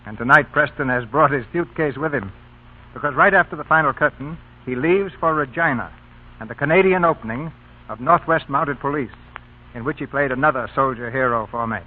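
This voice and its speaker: A man's voice: mid-range voice, fairly quick. A man hosting a radio theatre, reading formal scripted narration in 1940s broadcast style. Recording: tinny old radio broadcast, music.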